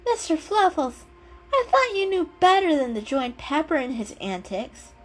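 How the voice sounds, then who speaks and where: A woman's voice: sits high, sing-song, moderate pace, voice pushed up, low-quality recording. Woman putting on a screechy, scolding cat. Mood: disappointed. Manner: screechy, exasperated. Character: prim, disapproving cat, scolding the kittens. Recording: music under the voice.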